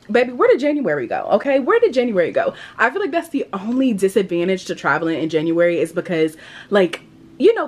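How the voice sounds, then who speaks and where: A woman in her twenties chatting casually to her camera at home, with an animated, emphatic, slangy way of talking. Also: medium pitch. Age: 20s. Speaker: lifestyle vlogger. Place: indoors at home, kitchen and bedroom.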